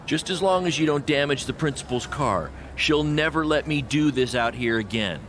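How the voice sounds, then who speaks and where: An actor playing a confident, persuasive small-time wrestling promoter in scripted game dialogue. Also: male voice, on the high side, expressive, normal speed, a bit bright, a little hiss or hum. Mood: brisk.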